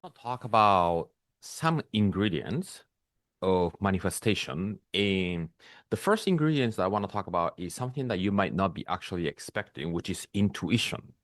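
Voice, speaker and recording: Male podcast host, low-pitched, unhurried reflective delivery, dry room. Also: no room sound. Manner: calm, measured, searching for words with restarts. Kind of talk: reflective spiritual monologue.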